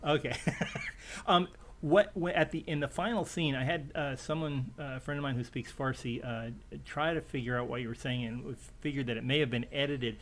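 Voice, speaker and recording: A man interviews a guest in a loose, halting way. He stumbles and restarts often as he works his way toward each question. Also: medium pitch, a bit bright. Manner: halting, many fillers and restarts, laughs often. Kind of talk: casual interview.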